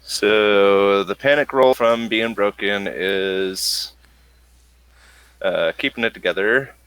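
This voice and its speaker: A man casually narrating a tabletop roleplaying game as game master, halting and thinking aloud in an informal way. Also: on the low side, fairly bright tone, smooth, dry recording, rough recording.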